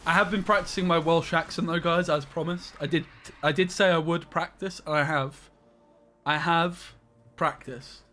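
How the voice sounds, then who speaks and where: A young man rambling through a live game stream from his bedroom, his voice sitting a touch high. He greets chat by name in a loose, chatty way, with faint game music underneath. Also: steady tone, moderate pace, pushed and bright.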